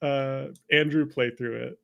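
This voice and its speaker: A man's voice: mid-range voice, fairly even intonation, a little slow, creaky, sharp tone, highs cut off. A man in a casual, opinionated chat about video games, rambling and swearing freely as an interview guest. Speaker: interview guest, game developer.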